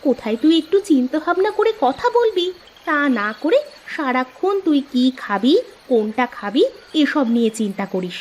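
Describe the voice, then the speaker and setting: Woman acting a gloomy worrying sister, high voice, plaintive complaining manner, cartoon dialogue, some background noise. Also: lively intonation, moderate pace, darkish tone. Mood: scolding. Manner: worried, lamenting, complaining. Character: anxious, gloomy sister fretting over the household. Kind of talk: scripted cartoon story dialogue.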